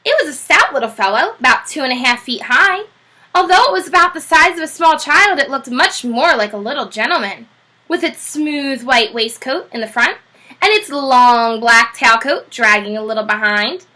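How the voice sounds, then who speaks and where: A woman's voice: high-pitched, moderate pace. A woman teacher reading a children's chapter book aloud, telling it expressively. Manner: expressive, animated storytelling.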